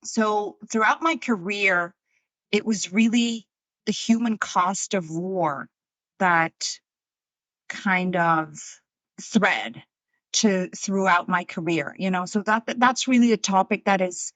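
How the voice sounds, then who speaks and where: A woman's voice: mid-range voice, slow, fairly bright tone, no echo. A woman in her thirties from Switzerland, a documentary filmmaker talking earnestly and repeating words as she thinks. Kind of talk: casual interview chat.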